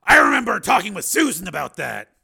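Man acting a loud, strained, gravelly action hero. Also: high voice, huge pitch swings, a little fast, voice pushed up, full character voice. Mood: shocked.

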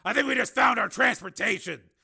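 A man voicing Steele, a tough, impatient armed fighter who talks in forceful, clipped commands in an audiobook. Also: very high voice, brisk, vocal fry, husky, voice pushed up, heavily acted. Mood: brisk, confident.

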